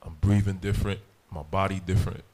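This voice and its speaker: A man with a deep voice talking casually as a rapper, peppering his talk with "you know what I'm saying.". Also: fairly even intonation, fast talker, darkish tone. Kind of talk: casual chat.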